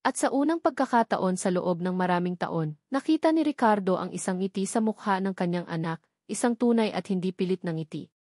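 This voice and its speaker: A woman's voice: medium pitch, expressive, brisk, darkish tone, no room sound, clean studio sound. A woman with a Filipino accent reading a scripted dramatic story as its narrator.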